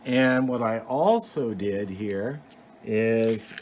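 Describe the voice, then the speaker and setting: A man's voice: lowish voice, very slow. A man giving a casual, unscripted show-and-tell as a hobbyist host, explaining things in a rambling, plain-spoken way. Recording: clear background noise.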